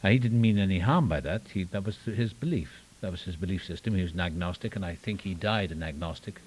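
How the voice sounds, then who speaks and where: A man's voice: sits low, fast talker, a little mellow, husky. An older man with a Welsh accent speaking at a recovery meeting, with wry self-deprecating humor and rambling asides. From Wales. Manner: rambling with restarts and trailing asides. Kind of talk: personal testimony talk. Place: meeting room.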